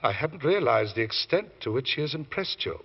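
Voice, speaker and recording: A husky old lawyer in a radio play, dry n fussy, voice swoops around, fairly high. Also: male voice, big pitch swings, brisk, heavily acted. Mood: dry, wry. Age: older. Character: wry, cautious older male lawyer, fatherly and sceptical. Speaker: radio drama actor. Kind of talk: old-time radio drama acting. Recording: dull vintage radio recording.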